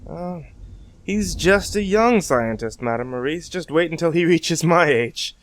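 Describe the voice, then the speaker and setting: A man's voice: on the high side, animated, measured pace, slight background noise. Man as a mild, genial older professor. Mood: amused, fond. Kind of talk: old-time radio drama acting.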